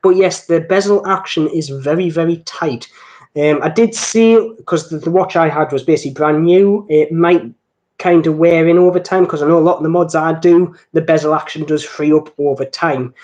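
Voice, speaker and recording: Higher-voiced Geordie man chatting casually. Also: normal speed, a little mellow, dull audio. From north-east England. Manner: rambling, jokey, self-deprecating. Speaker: host of a watch channel live stream. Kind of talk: casual live-stream chat, answering viewer comments.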